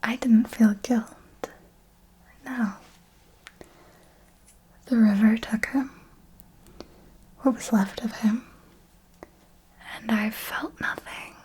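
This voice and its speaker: A woman's voice: on the high side, steady tone, drawn-out words, pauses often, darkish tone, a little hiss or hum. A woman voicing an ancient, serenely ruthless and darkly tender female vampire mentor in an ASMR roleplay, speaking formally and coaxingly in a whisper. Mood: cold, remorseless.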